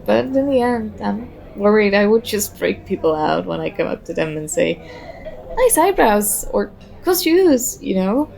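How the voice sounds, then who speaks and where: A woman's voice: mid-range voice, big pitch swings, a little slow, creaky, a little mellow. A woman playing a very shy, nervous young stranger in an ASMR roleplay, talking hesitantly and self-consciously as she overthinks. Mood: thoughtful, rambling. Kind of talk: ASMR roleplay, soft conversational acting.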